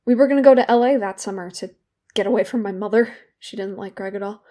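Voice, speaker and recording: An actress as a young woman in therapy, weighed down by guilt and edged with bitter wit. Her voice is soft-edged and dark, at an ordinary female pitch. She speaks in a halting, candid way, as in a naturalistic audio play. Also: close to an everyday voice. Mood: wistful, halting. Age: young adult. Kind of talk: naturalistic audio drama dialogue.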